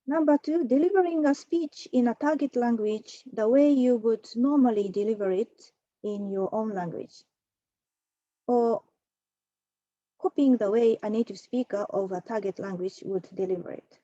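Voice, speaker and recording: A woman's voice: fairly high pitch, warm tone, no room sound, dull audio. Woman reading an academic talk. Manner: measured, reads from a prepared text with occasional fillers. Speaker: conference presenter. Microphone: computer mic for an online talk.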